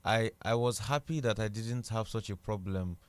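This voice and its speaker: A young man with an Igbo Nigerian accent, a guest in a relaxed radio interview, thinking aloud as he talks. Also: lowish voice, moderate pace, clean tone, dry recording. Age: young adult. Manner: thoughtful, repeats words while searching. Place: radio studio.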